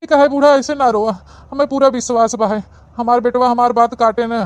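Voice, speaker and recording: A woman voicing a gruff but soft-hearted old village farmer and husband with an Awadhi accent, in a cartoon comedy. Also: a little high, fairly even intonation, fairly quick, no room sound, close to an everyday voice. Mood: reassuring.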